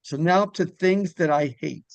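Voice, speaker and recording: Male interview host, casual and rambling, with lots of fry and a very dry, close sound. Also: a little high, moderate pace, no echo. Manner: rambling, repeats phrases, griping about pet peeves.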